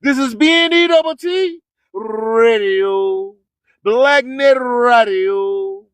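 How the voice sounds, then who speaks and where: Very high-pitched, slow-talking preachy man. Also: lively intonation, fairly bright tone, smooth, no echo. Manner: preachy, emphatic, repeats slogans; streetwise slang with swearing. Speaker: radio host. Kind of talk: motivational radio monologue in rhymed catchphrases.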